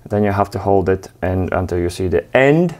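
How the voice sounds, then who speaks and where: Man, lowish voice, hesitant hands-on explainer recorded in a workshop. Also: animated, a little mellow. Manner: halting, many fillers and restarts, plain explanatory tone. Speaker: technician demonstrating a drive. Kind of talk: hands-on tutorial. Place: workshop with wooden wall panel, indoors.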